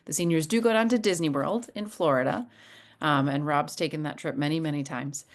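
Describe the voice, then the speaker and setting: Low-voiced woman explaining. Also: fairly quick. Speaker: school administrator. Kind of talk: informal explanatory answer. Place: video call.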